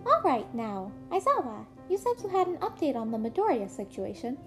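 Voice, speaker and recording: A woman's voice: high-pitched, very animated, brisk, music in the background. A woman voicing a small animal school principal in a story, protective and commanding, with emphatic, formal delivery. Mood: brisk, concerned.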